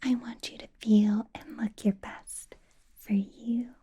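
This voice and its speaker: A woman playing a possessive, doting vampire boss in an ASMR roleplay, whispering in a breathy, teasing, intimate way. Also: fairly high pitch, flat delivery, warm tone, heavily acted. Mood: doting concern. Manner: slow, teasing, intimate personal-attention delivery.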